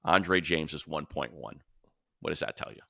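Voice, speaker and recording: A man's voice: a bass voice, moderate pace, creaky, a bit bright, dry recording, clean studio sound. A man hosting a sports talk show, casually analysing in an emphatic, conversational way and repeating points for stress.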